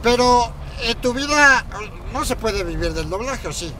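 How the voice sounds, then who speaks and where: A man's voice: extremely high pitch, expressive, measured pace, crowd noise. A man with a Mexican accent hosting a crude, joking chat while driving a guest around in an open-top car, swearing and laughing a lot. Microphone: car-mounted camera.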